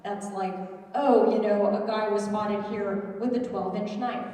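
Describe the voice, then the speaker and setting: A young adult woman doing a loud, chatty, self-mocking stand-up comedy set on stage. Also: mid-range voice, steady tone, unhurried. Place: large hall at a culture show. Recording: echoey hall, mic heard from far off.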